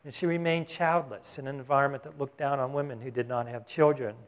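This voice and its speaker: A man's voice: medium pitch, steady tone, fast talker. A man preaching a sermon as a Bible teacher, in a conversational way with frequent questions to his listeners. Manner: conversational preaching, asks the congregation "Got it?". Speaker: pastor.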